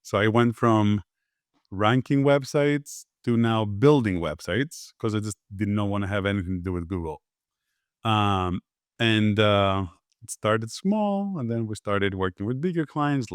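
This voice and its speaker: A man from Greece, a podcast guest, giving relaxed conversational answers with frequent fillers and restarts. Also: on the low side, measured pace, clean tone, no room sound, studio quality. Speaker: podcast guest, agency founder.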